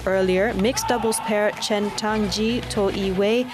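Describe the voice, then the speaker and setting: A woman's voice: mid-range voice, steady tone, measured pace. A woman with a Malaysian accent reading scripted news as a television presenter. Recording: music bed behind voice.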